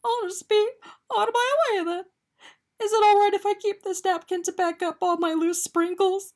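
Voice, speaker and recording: A woman's voice: extremely high pitch, steady tone, moderate pace, a little mellow, pitched above the performer's own voice. A woman voicing a small, eager male talking doughnut in a picture-book story, bubbly, earnest and exclamatory. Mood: tearful, dejected. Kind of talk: animated picture-book character reading.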